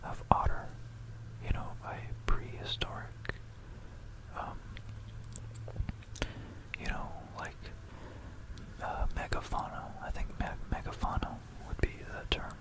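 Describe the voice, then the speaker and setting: Slow, hushed ASMR whisperer. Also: low-quality recording. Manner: hushed, rambling musing with asides. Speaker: ASMR creator presenting a history topic. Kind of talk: whispered ASMR, reading and commenting on history. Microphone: close stereo binaural microphone.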